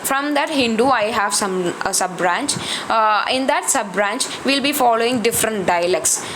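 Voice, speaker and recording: A woman's voice: a little high, animated, moderate pace, background noise. A woman from Tamil Nadu with a Tamil accent, giving a classroom lecture, repeating points and asking rhetorical questions with many fillers. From Tamil Nadu, India. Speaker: college lecturer.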